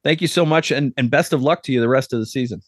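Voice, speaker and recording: A man's voice: mid-range voice, fast talker, noisy background. A man hosting a podcast, interviewing a guest and chatting with his co-host in an upbeat, chatty way.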